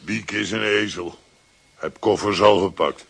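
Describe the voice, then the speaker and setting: An actor playing a calm strongman in a radio play who speaks in broken, blunt sentences. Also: lowish voice, a little slow, full character voice. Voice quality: husky, broken speech.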